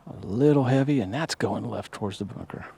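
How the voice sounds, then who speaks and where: A man's voice: medium pitch, fairly quick, gravelly, dark tone, a little hiss or hum. A man commenting on a golf broadcast, explaining the technique behind shots. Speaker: golf analyst.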